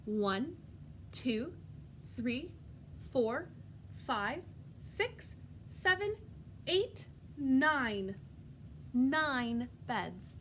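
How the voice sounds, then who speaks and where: A woman reading a storybook to young children in an expressive, animated way, asking them simple questions as she goes. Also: high-pitched, extremely slow, long pauses, a little hiss or hum. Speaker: preschool teacher. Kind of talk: expressive children's read-aloud with questions to listeners.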